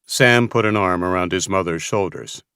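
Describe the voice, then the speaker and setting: Male narrator, lowish pitch, scripted thriller audiobook read, very dry recording. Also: normal speed, sharp tone, clean tone, no room sound.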